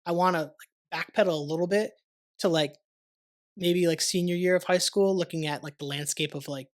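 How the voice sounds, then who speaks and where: A man's voice: high voice, fairly even intonation, a little fast. A young man hosting a podcast, talking casually and rambling with lots of "like" and the odd swear word. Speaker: podcast host. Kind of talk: casual conversational interview. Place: warm studio under lights.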